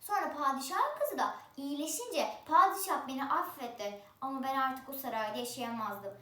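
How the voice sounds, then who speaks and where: Young female storyteller as an earnest, pleading beggar, high-voiced. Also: expressive, moderate pace, sharp tone, reverberant, distant mic. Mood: earnest, recounting. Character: earnest beggar, once palace healer, respectful and pleading.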